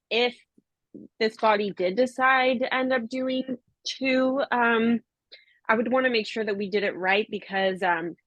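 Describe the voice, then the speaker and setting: Careful procedural speaker on dull call audio. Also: female voice, mid-range voice, fairly bright tone, dry recording. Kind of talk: speaking at an online meeting. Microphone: video call.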